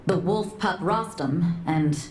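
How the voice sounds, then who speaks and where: A woman's voice: fairly low pitch, takes it slowly, a little mellow, a little hiss or hum. A woman voicing a composed, knowing church sister who recounts knightly lore with dry wit in a video game. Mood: measured, grave. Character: wry, worldly church sister telling old knightly lore. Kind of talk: voiced video game dialogue, measured storytelling. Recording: roomy echo, game music behind.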